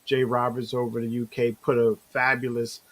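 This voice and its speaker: A man's voice: mid-range voice, completely flat delivery, brisk, fairly bright tone. A man hosting an interview in a casual, informal and affirming way. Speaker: interview host. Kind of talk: casual chat.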